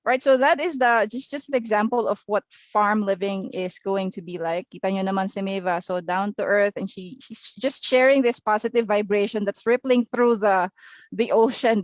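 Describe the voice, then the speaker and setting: A woman's voice: medium pitch, no echo. A Filipino woman co-hosting a webinar on a video call, chatting in a playful, teasing way and switching languages. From Philippines. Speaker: webinar co-host.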